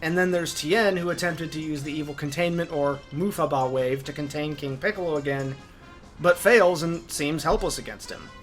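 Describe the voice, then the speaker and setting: A man's voice: on the high side, normal speed, soft background music. A man hosting a video essay, giving opinionated commentary in an emphatic, enthusiastic fan's delivery.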